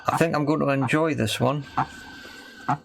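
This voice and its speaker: A man's voice: fairly high pitch, fairly even intonation, moderate pace. A man gives casual, thinking-aloud commentary on a repair job, tentative and often questioning himself. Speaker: host of a hobby radio repair video. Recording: clear background noise with clicking.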